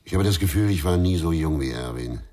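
An actor playing a troubled, stubborn investigator in a radio crime drama, terse and brooding, over background noise. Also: male voice, extremely low pitch, animated, fairly quick, mellow, no room sound. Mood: challenging.

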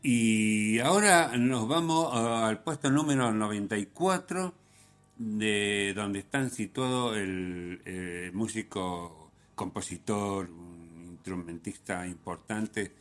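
Deep-voiced, slow-paced male radio host. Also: a bit bright, soft background music. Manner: halting, with hesitations and self-corrections. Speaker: radio music show host. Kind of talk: unscripted music commentary on radio.

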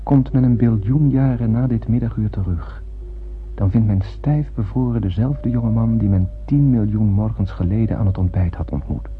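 An actor playing an astronaut who narrates a solemn space tale in poetic, measured radio play style. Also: male voice, on the low side, natural voice. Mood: solemn, wondering. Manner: poetic, measured literary storytelling. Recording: muffled phone-like old radio recording, noisy.

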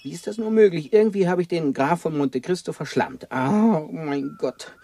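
Ein Schauspieler spielt in einem deutschen Hörspiel einen gelangweilten, verkrüppelten Ex-Raufbold, der zum Leser wurde, schlicht und neckend im Ton. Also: male voice, on the high side, animated, moderate pace, warm tone, dry recording. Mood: bored, grumbling. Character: crippled former brawler turned bookish, bored, teasing survivor. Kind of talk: German radio play acting. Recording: radio play with clear background noise.

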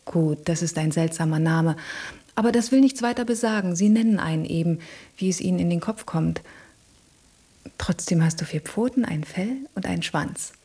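A woman's voice: fairly low pitch, normal speed, mellow, breathy edge. An actress playing a flirtatious, self-assured female cat in a radio play, coaxing and often dropping to a murmur. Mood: teasing. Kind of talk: German radio play acting.

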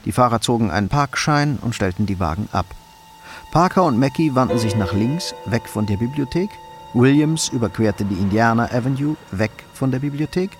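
A man narrating a radio crime drama from a script in a sober, measured way. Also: medium pitch, lively intonation, over music.

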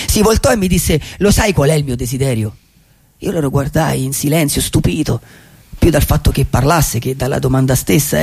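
Male actor as a poetic drifter, higher-pitched husky voice, wistful, halting reflective delivery, radio play monologue. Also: darkish tone, full character voice. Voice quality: husky, gravelly. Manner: reflective, halting, with deliberate pauses. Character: wistful, poetic male vagabond dreamer who loves cinema.

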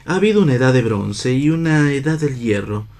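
A man's voice: mid-range voice, expressive, a little fast, a little mellow, natural voice. Radio theatre: actor as idealistic workingman, mid-range voice, declamatory, sententious. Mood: preaching, resolute. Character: idealistic man in worker's clothes, preachy philosopher.

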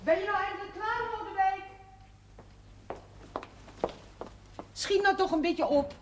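An actress playing a brisk, practical wife fussing over her husband in a 1970s TV drama. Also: very high voice, animated, music in the background. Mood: impatient. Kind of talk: 1970s television drama acting. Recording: noisy old TV soundtrack.

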